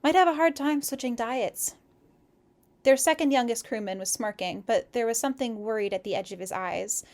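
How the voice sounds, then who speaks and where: A woman with a slightly high-pitched voice co-hosts a casual read-aloud. She reads expressively and talks in an informal, chatty style, like sharing a story with a friend. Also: normal speed, a little mellow. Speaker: co-host of a fan fiction read-aloud stream. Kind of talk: expressive story reading mixed with casual chat.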